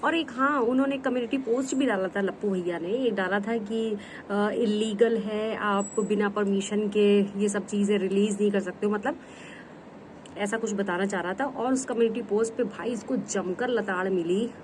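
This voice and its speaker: A woman's voice: medium pitch, fairly even intonation, normal speed, a little hiss or hum, rough recording. A woman giving a casual roast commentary on online drama, scolding and mocking her targets as if talking straight to them. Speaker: commentary vlogger.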